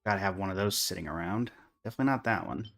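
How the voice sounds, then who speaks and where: A man's voice: low-pitched, racing, gravelly, fairly bright tone, soft background music. A man livestreaming a game, chatting casually and in a friendly way with viewers while commenting on his play.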